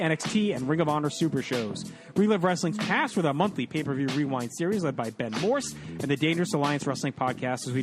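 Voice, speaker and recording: A man reads a scripted promo for a podcast network, rattling off show names at a brisk clip. His voice sits fairly high, and a clear music bed runs behind him. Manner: brisk listing read. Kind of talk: read promotional ad.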